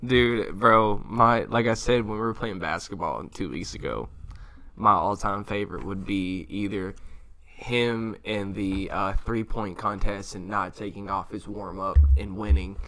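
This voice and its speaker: A man's voice: on the low side, unhurried, sharp tone. A young man co-hosting a podcast, bantering casually with slang. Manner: laid-back, slangy banter. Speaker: podcast co-host. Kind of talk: casual chat.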